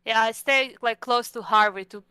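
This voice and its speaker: A woman's voice: a little high, moderate pace, pushed and bright, smooth, dry recording. A woman playing a tabletop roleplaying game, casually describing her character's actions.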